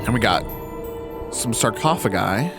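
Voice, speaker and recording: A man's voice: lowish voice, lively intonation, measured pace, gravelly, loud music behind the voice. A young man streaming a game live, playful and chatty, sniffly, reading the game text aloud. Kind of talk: casual live game commentary.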